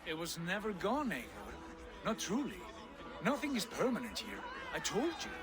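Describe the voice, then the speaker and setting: An actor playing a boisterous viking warrior who booms out battle cries in a video game cutscene. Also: male voice, wildly swooping pitch, normal speed, music in the background. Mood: calm. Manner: booming, declamatory battle cries. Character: boisterous male viking warrior brother in the afterlife.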